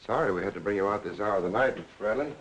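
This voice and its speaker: A man's voice: fairly low pitch, very fast, a bit bright, natural voice. A man playing a curt, businesslike police lieutenant in a 1940s crime film, with a clipped, authoritative manner. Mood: cool, probing. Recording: noisy old 1940s film soundtrack.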